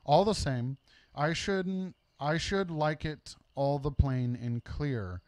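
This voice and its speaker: A little hobbit from a storybook, acted out by a male reader, timid but trying to sound businesslike. He is prim and fussy, with a raised pitch and a dry, close sound. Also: takes it slowly, a little mellow, no echo. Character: Bilbo, small timid hobbit putting on business airs.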